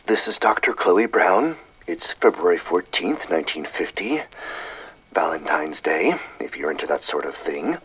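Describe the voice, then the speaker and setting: A man's voice: sits low, very animated, moderate pace, a bit bright, husky, muffled recording, exaggerated voice. A man voicing an earnest, wry woman scientist dictating a chatty, precise audio diary in an audiobook story. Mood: businesslike.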